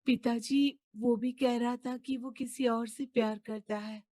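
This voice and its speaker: A woman's voice: on the high side, fairly even intonation, measured pace, dark tone, dry recording, clean studio sound. A woman voicing a stubborn young rich man's daughter in a cartoon moral story, pleading and insistent. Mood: protesting.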